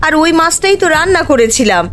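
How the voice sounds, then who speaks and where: A woman's voice: high-pitched, big pitch swings, fast talker, fairly bright tone. A woman voicing an earnest, worried village wife and mother in a cartoon, given to scolding. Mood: flustered. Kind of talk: cartoon voice acting.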